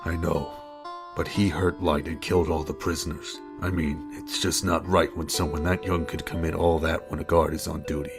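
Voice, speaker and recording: Low-voiced husband and father played by a man, skeptical, protective, teasing; audio drama with plucked guitar backing. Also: little pitch movement, moderate pace. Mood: concerned.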